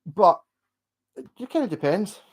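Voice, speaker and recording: A man's voice: high-pitched, sing-song, husky. Chatty Glasgow man, rambling. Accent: Glasgow Scottish. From Scotland. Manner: rambling, chatty, swears and laughs, slows down when asked. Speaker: streamer reading chat. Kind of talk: casual chat.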